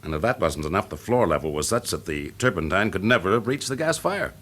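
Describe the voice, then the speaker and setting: Deep, animated British shrewd fire investigator. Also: male voice, fast talker. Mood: confident, wry. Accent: British English. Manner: dry, wry, explanatory. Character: shrewd, wry male fire investigator in a radio drama. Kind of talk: classic British radio drama acting.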